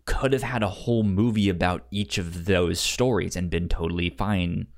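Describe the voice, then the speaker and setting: A man co-hosting a casual film discussion podcast, halting and repeating words as he works out his opinions. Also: low-pitched, fairly even intonation. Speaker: podcast co-host reviewing a film.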